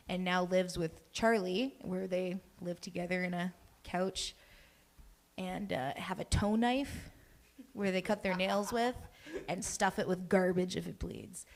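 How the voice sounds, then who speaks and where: A woman talking casually as a member of a table read cast. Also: on the low side. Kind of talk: casual chat.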